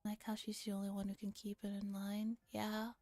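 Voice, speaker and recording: A woman streamer with a soft, often whispery voice, reading a visual novel in ASMR style with casual comments. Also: mid-range voice, monotone, fairly quick, no echo.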